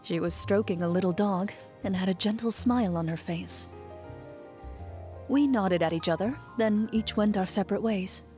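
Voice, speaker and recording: A woman playing a composed, dutiful young female champion duelist in a video game, speaking in a formal, measured, reserved way. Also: medium pitch, warm tone, natural voice. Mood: composed, reflective. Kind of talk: video game character voice lines, reflective monologue. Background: music under the voice.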